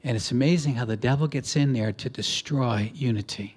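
A man's voice: mid-range voice, normal speed, slightly rough. A man from the New area, preaching a sermon in short phrases for an interpreter and acting out conversations as he tells stories. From New York area. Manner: lively storytelling, acting out conversations. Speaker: guest preacher.

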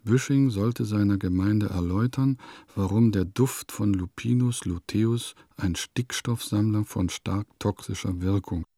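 An actor playing a wry chronicler in a radio play, reading out terse, note-like narration. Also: male voice, fairly low pitch, measured pace, mellow, dry recording, studio quality. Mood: dry, wry.

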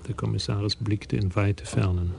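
A man narrating a radio crime play in a calm, literary way. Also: fairly low pitch, normal speed, vocal fry. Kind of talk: scripted narration.

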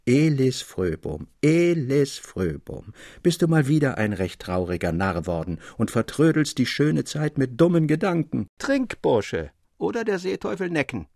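A man voicing a boisterous, mocking young sailor in a storybook reading, loud and jeering. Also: mid-range voice, sing-song, measured pace, gravelly, hardly any pauses, no echo, studio quality, voice pushed up, exaggerated voice. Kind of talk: audiobook character acting.

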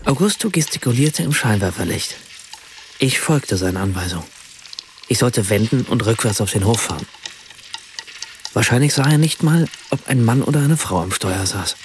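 A man playing a writer who narrates a radio play in a measured, precise way. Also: mid-range voice, expressive, slight background noise, natural voice. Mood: reflective, measured. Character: German writer narrating a remembered family evening in Rome.

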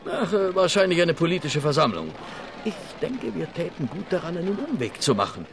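An actor playing a scheming detective who speaks with fawning, ingratiating politeness. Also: male voice, fairly high pitch, sing-song, a little fast, a little hiss or hum. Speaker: Detective Fix.

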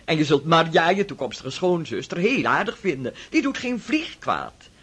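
An actor voicing a bossy, chatty elderly aunt in a radio drama, commanding and teasing with a husky voice. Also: male voice, fairly high pitch, very animated, moderate pace, a bit bright, exaggerated voice. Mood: gossipy.

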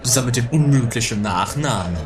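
A man's voice: mid-range voice, brisk, crowd noise, close to an everyday voice. A man playing a hesitant, pompous, grumbling bridegroom in an amateur comedy radio play. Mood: stiff, grumbling. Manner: hesitant, stiffly formal, animated. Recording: homemade radio play with music behind.

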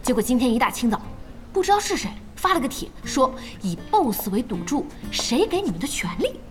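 A woman playing a spirited young gamer in an audio drama, chatty, expressive and inquisitive. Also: sits high, fairly quick, faint music behind. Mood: excited, chatty. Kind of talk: audio drama acting in dialogue.

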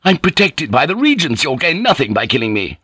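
A man's voice: high voice, wildly swooping pitch, slow, fairly bright tone, dry recording, voice pushed up, exaggerated voice. A man voicing an arrogant, blustering samurai envoy in an audiobook, exclaiming in an agitated way. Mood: shrill panic. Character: arrogant samurai envoy, nervous laugher turning to shrill panic. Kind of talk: audiobook character voice.

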